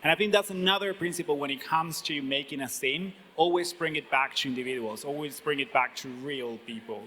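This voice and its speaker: Guy giving a conference talk, bright sharp voice, big echoey hall. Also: fairly high pitch, fairly quick, a little hiss or hum. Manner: explanatory, walks through slides. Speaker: conference speaker. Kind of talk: marketing talk. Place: conference stage.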